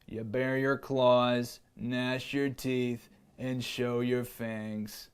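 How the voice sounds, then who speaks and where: A man voicing a stern male lion who teaches lessons, speaking low, slow and unimpressed. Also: level tone, clean tone.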